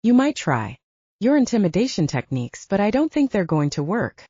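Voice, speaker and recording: A woman's voice: medium pitch, sing-song, normal speed, dark tone, slightly rough, no echo, muffled recording. A woman voicing a cool, sardonic, protective male werewolf with a dry, understated manner in an audiobook. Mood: cool mockery. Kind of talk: audiobook character acting.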